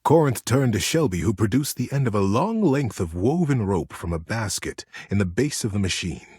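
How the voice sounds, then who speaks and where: A man playing a gentle, soothing teddy bear who narrates bedtime stories expressively in a game. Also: on the low side, big pitch swings, normal speed, slightly rough, no room sound, studio quality, heavily acted. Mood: storytelling.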